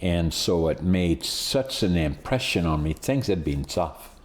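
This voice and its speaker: A man's voice: low voice, lively intonation, a little slow. A man in his eighties from the Netherlands with a Dutch accent, hosting a podcast and telling his story in a rambling way with many restarts. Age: 80s. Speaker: podcast host. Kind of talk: casual interview chat.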